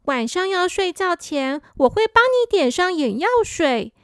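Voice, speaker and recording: A woman voicing a caring big-sister girl in a children's story, gentle and a little worried. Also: extremely high pitch, big pitch swings, unhurried, dry recording, studio quality, voice pushed up, heavily acted.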